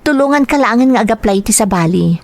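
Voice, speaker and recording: A woman's voice: fairly high pitch, expressive, fast talker, a little mellow, no room sound. A woman with a Filipino accent playing a friendly, persuasive young job recruiter in an audio drama. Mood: persuasive, coaxing.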